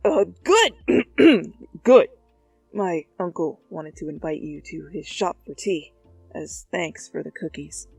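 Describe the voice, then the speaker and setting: A stiff, flustered teenage boy acted by a woman reader talks in halting, grumpy phrases. It is close and dry with quiet music behind, like a character in an audio fan story. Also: fairly low pitch, very animated, no room sound, recorded from a distance, full character voice. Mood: awkward, hesitant. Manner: halting, stiff, throat-clearing.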